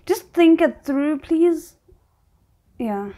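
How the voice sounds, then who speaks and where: A woman giving casual reaction commentary, thinking aloud in an expressive way. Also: high-pitched, drawn-out words. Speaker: reaction video host.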